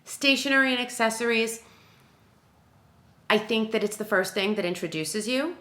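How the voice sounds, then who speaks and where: A woman's voice: on the high side, moderate pace, pushed and bright, roomy sound. A woman working as a wedding planner gives a conversational, instructional walkthrough, emphatic and often posing questions that she answers herself. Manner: emphatic, self-questioning, talks through examples aloud. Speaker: wedding planner presenting a tutorial.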